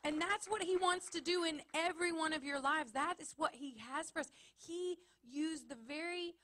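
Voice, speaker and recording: Texan lady preaching in church, earnest, repeats lines for emphasis, bright tone. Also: high voice, moderate pace. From Texas, USA. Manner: earnest, building to emphatic repetition. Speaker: pastor. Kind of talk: sermon.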